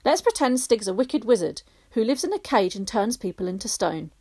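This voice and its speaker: A woman's voice: a little high, big pitch swings, brisk, mellow, husky, heavily acted. A woman voicing a teasing, imaginative little girl in a storybook reading.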